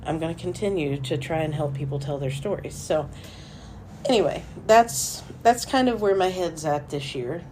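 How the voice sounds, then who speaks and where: Hoarse lady doing a solo podcast, kinda low voice, earnest and encouraging. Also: moderate pace, dark tone, slight background noise. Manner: earnest, encouraging, faith-centered. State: hoarse, losing her voice. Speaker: podcast host for a small publisher.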